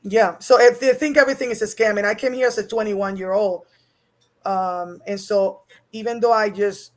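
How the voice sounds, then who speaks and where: A woman's voice: mid-range voice, fairly even intonation, normal speed. A woman from Puerto Rico with a Puerto Rican accent, a guest talking earnestly in long runs with restarts. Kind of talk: casual panel chat.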